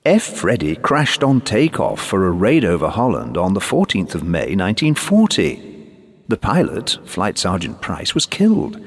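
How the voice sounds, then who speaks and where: A man's voice: lowish voice, wildly swooping pitch, breathy edge, pitched above the performer's own voice, heavily acted. A man as a puzzled old veteran pilot. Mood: grave recollection. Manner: formal, halting, explanatory. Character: older male ex-RAF pilot, puzzled, courteous veteran.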